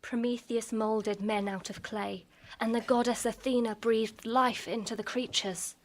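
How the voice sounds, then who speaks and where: Knowing barmaid storyteller, an actress, noisy stage recording. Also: female voice, a little high, fairly even intonation, unhurried, close to an everyday voice. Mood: measured storytelling. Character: teasing barmaid turned storyteller of Greek myth. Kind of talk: radio play storytelling on stage.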